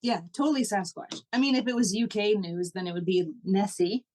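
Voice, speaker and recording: A woman co-hosting a casual podcast, chatting in a relaxed, dry way and reading question cards aloud. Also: mid-range voice, fairly quick, a little hiss or hum. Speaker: podcast co-host.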